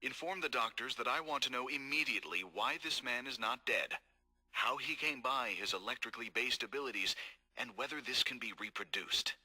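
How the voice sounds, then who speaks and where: A man voicing a cold, scheming corporate executive in game audio logs, calm, measured and formal. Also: medium pitch, rough recording. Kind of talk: recorded game audio log.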